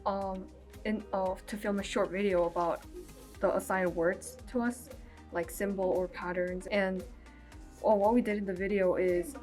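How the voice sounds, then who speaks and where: A teenage girl whose first language is Korean, speaking hesitantly in an interview as a high school student. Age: teens. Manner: hesitant, searching for words.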